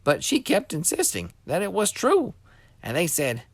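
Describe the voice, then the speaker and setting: A man's voice: sits high, huge pitch swings, moderate pace, no echo. A man reading a scripture story expressively for children as a scripted narration.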